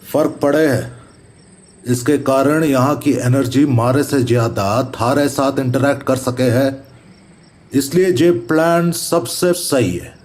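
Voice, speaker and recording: A man playing a serious, methodical paranormal investigator in an audio horror drama, speaking deliberate rustic Haryanvi-Rajasthani Hindi. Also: mid-range voice, a little mellow, lightly acted. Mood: matter-of-fact, firm. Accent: Haryanvi-Rajasthani rural Hindi. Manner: deliberate, instructive. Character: methodical, serious male paranormal investigator, neighbourhood elder brother.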